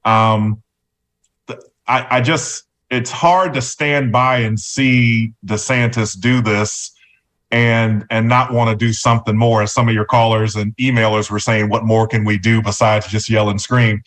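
A man's voice: on the low side, fairly even intonation, unhurried, fairly bright tone. A man speaking as a guest on talk radio, giving conversational political commentary. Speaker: radio show guest, former political candidate.

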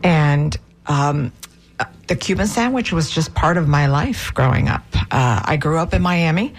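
A woman from Cuba, a professor and co-author, explaining her part in a book during a radio interview in a clear, steady way. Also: deep voice, measured pace. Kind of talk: casual interview talk.

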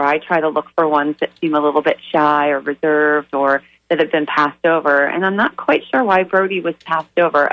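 A hoarse woman with a raspy, slightly crackly voice, telling a story earnestly with many pauses as a radio guest. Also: deep voice, dry recording, lo-fi sound. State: hoarse from a weekend of events. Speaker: guest, animal charity founder. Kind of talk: radio interview.

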